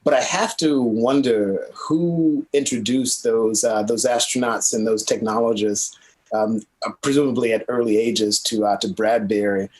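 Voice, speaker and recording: Measured man, medium pitch. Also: fairly even intonation, a little mellow, low-quality recording. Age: middle-aged. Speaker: academic panelist. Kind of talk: conversational panel commentary. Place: indoor office, video call. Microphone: video call.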